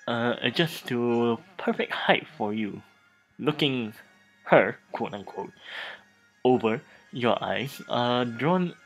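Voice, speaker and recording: Seeking a mid-pitched let's play narrator: theatrical, animated intonation, frequent stumbles and repeated phrases. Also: rough recording. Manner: halting, stumbling over words and repeating phrases. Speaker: host of a gaming let's play. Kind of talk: dramatic reading of game text.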